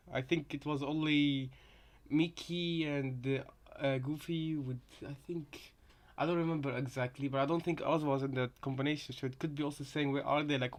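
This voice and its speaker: Higher-voiced man, tentative reaction chat. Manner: tentative, repetitive, rambling. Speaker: co-host reacting to game voice lines. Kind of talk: casual reaction commentary.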